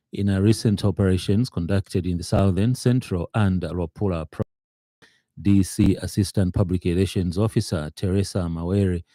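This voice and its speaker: Male, Zambian accent, low dark voice, formal radio bulletin reading, hesitant phrasing. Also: no echo. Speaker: radio news reader. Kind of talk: scripted news bulletin. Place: radio studio.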